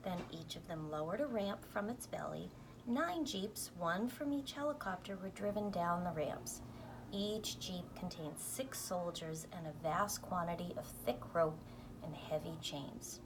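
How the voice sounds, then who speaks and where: Female storybook reader, slightly low voice, animated delivery, clearly noisy amateur recording. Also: a little slow. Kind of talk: expressive read-aloud of a children's novel.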